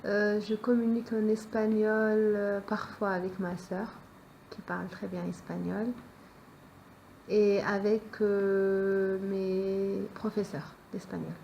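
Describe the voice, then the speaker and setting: A woman's voice: mid-range voice, flat delivery, slow, creaky, warm tone, lo-fi sound, slight background noise. A woman in her twenties from France, giving short plain interview answers with occasional fillers. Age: 20s.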